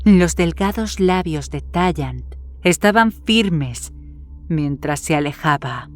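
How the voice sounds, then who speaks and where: A woman's voice: low-pitched, lively intonation, takes it slowly. A woman reading a horror story as a scripted audiobook narration. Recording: background music under the voice. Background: music.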